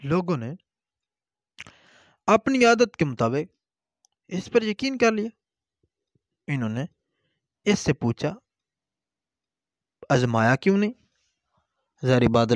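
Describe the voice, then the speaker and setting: A man narrating a moral folk tale as a storyteller, in short, measured phrases. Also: mid-range voice, expressive, dry recording, studio quality. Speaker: storyteller and channel host.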